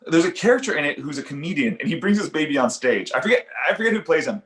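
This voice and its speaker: A man playing an awkward young father and amateur comedian in an animated comedy table read, hesitant and stammering. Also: fairly high pitch, fast talker. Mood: nervous. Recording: roomy echo.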